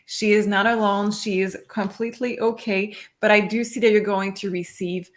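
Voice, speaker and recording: A woman's voice: medium pitch, fairly even intonation, moderate pace. A woman giving live intuitive card readings to viewers in an earnest, reassuring way. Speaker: psychic card reader.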